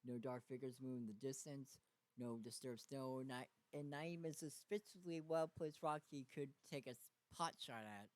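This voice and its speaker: A man with a medium-pitched voice narrates a story in a dramatic style. His delivery is halting, with small stumbles over the text. The sound is dry, with very little room in it. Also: no echo, poor audio. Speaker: fan fiction reader.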